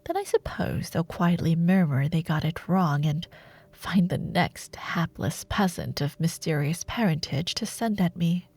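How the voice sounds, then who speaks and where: Woman acting a slow, silky dark sorceress warlord. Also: fairly low pitch. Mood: mocking, coolly scheming. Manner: slow, deliberate, menacing, silky, often dropping to breathy murmurs. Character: seductive, ruthless female dark warlord sorceress villain. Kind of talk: dramatic fantasy audio roleplay monologue, ASMR.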